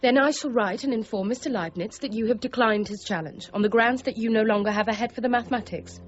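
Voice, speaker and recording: An actress playing a brisk, teasing but caring young niece in a period radio drama, forthright and gently goading. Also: female voice, on the high side, lo-fi sound, slight background noise, lightly acted.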